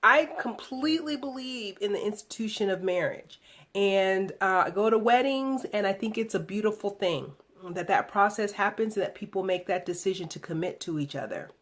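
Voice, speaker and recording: A woman hosting a relationship video blog, speaking in a reflective, deliberate monologue with emphatic phrasing. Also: mid-range voice, animated, a bit bright.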